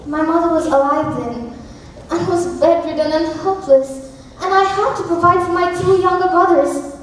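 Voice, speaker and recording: Woman as a stoic widow, very high voice. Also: moderate pace. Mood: resigned. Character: stoic widowed woman, self-sacrificing and resigned. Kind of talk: school stage play acting. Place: school stage in a hall. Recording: echoey hall, distant mic, faint noise.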